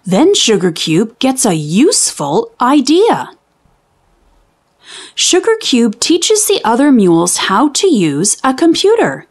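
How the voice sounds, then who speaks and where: Animated high-voiced woman reading to children. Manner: deliberate, enunciated teaching delivery. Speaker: children's read-aloud host. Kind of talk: expressive storybook reading for young children.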